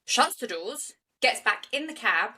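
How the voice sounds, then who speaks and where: A woman's voice: a little high, big pitch swings, a little slow, bright, forceful tone, distant mic, a little hiss or hum. A young woman from South East London with a local accent, co-hosting a true-crime podcast and telling the story in a chatty, jokey way. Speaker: podcast co-host. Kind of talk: casual true-crime chat.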